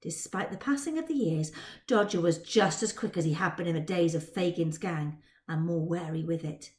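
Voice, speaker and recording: A woman teacher and author talking to students and reading a story aloud in a dramatic, expressive way. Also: lowish voice, moderate pace. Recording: roomy indoor echo.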